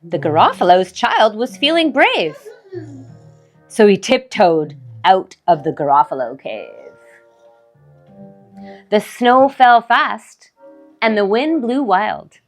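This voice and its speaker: A woman narrating a storybook for young children, expressive and deliberate. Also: medium pitch, soft background music. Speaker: children's read-aloud host.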